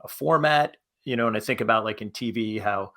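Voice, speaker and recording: A man gives his thoughts as a panelist in a low, creaky voice. He talks casually and haltingly, circling back over phrases as he goes. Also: fairly bright tone. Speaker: panelist, podcast creator.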